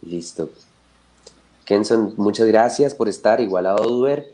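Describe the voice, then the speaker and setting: A male host moderates a panel over a video call. His voice is low and mellow, and he keeps his turns short and courteous as he welcomes each guest. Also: measured pace, low-quality recording. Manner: polite, brief.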